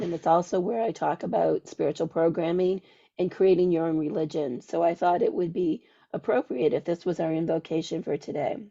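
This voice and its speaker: A woman hosts a podcast, her voice squeaky from a cold. She speaks slowly in a dark, gravelly tone and reads a ritual invocation in a deliberate chant. The sound is dull and lo-fi. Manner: slow, deliberate, chanting readings. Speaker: podcast host. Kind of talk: casual podcast chat and ritual invocation reading.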